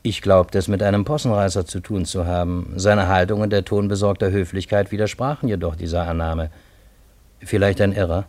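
An actor playing a wry, reflective man who narrates his own story in a radio play, with measured, literary phrasing. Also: deep voice, fairly even intonation, a little mellow, no room sound, close to an everyday voice. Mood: wary. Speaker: first-person narrator.

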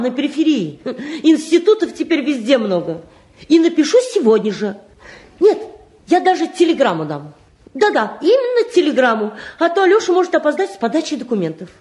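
A woman's voice: high-pitched, darkish tone. An actress playing an anxious middle-aged mother and housewife, agitated and pleading, in a mid-century Soviet radio-play style. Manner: agitated, pleading, repeats herself. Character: anxious, fretful mother and housewife, fussing over her sons.

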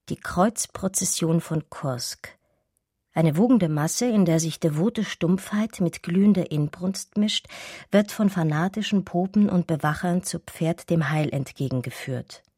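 An actress playing a wistful German art historian, narrating memories of a lost lover in a measured, intimate radio drama style. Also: female voice, deep voice, warm tone, husky, no echo, studio quality, heavily acted.

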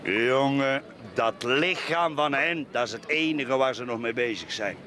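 A man's voice: medium pitch, measured pace, bright, forceful tone. An actor with a Flemish accent playing a bitter, boastful, drunken middle-aged man in a radio play. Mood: grumbling, boozy. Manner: rambling, repetitive, cackling, tipsy. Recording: radio play with clear outdoor background noise.

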